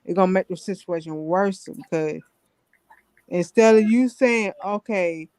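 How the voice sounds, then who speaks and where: Southern woman talking advice on a chat, clear forceful voice, earnest, real dry sound. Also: lowish voice, a little slow, dry recording. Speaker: panel guest. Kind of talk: casual advice talk.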